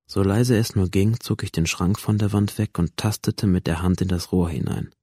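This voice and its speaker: Low, bitter, withdrawn young man. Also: fairly even intonation, moderate pace, dark tone, slightly rough, no room sound, clean studio sound. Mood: brooding, detached. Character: bitter, withdrawn young man recounting his past to a doctor. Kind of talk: radio drama, introspective first-person narration.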